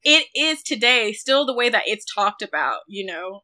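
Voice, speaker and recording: A woman's voice: medium pitch, sing-song, moderate pace, pushed and bright. A woman co-hosting a podcast, discussing film casually with opinionated, emphatic delivery. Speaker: podcast co-host.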